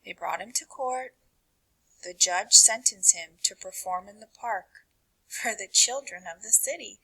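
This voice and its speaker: A woman reading a children's picture book aloud in an expressive, dramatic way, with deliberate pauses and hushed phrase endings. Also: medium pitch, breathy edge, low-quality recording.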